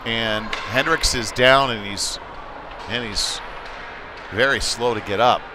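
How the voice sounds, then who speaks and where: A man commentates live on a hockey game at an ordinary male pitch. His delivery is conversational and can lift into excitement as play develops. Clear noise from the sports venue sits behind his voice. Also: slow pace.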